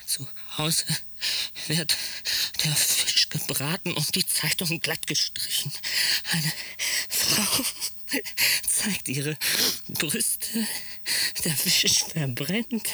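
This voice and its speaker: A man playing an obsessive, detached psychiatric patient in a radio drama, speaking in halting fragments with a husky voice that often drops to a whisper. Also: on the high side, pushed and bright, no echo, full character voice. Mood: brooding, agitated.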